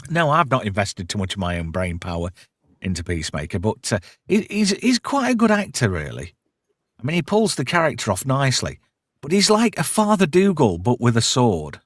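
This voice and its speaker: A man's voice: mid-range voice, huge pitch swings, fairly quick, no room sound, studio quality. A British man hosting a pop culture show, recapping casually in sweary, irreverent slang.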